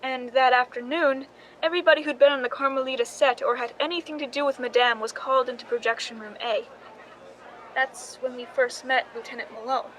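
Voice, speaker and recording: A woman's voice: high-pitched, steady tone, a little fast, fairly bright tone, a little hiss or hum, poor audio. An actress playing a film stand-in who narrates her own crime story in a suspense radio drama, speaking in a dramatic first person. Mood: tense, recounting.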